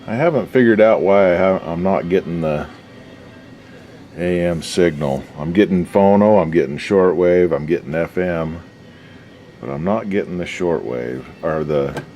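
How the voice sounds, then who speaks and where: A man's voice: rumbling low, measured pace, darkish tone, slight background noise. A man giving casual, unscripted commentary as a hobbyist repairer, talking in a folksy, slangy mutter while he works. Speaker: hobbyist radio restorer and video host.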